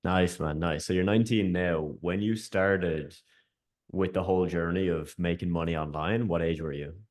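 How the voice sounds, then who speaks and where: A man's voice: low-pitched, steady tone, a little mellow. A man hosting a casual interview over a video call, upbeat and friendly, often calling his guest "man". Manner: upbeat, quick, says "man" a lot. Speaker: host and coach.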